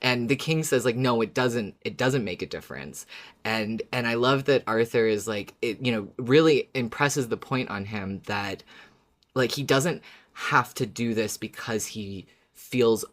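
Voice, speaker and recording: A man co-hosting a casual fan discussion podcast, talking earnestly and haltingly and repeating words for emphasis. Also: medium pitch, fairly bright tone. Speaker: podcast co-host.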